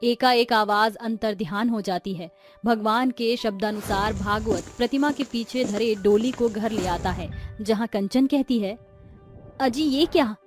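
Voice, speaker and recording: A woman narrating a scripted moral story in an expressive, dramatic storybook style. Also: mid-range voice, a little slow, faint music behind.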